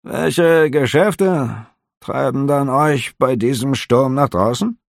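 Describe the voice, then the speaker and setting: A man's voice: mid-range voice, slow, vocal fry, dry recording, studio quality, voice pushed up. A man voicing a nervous, prying middle-aged innkeeper who talks in a hasty, giggly, placating way.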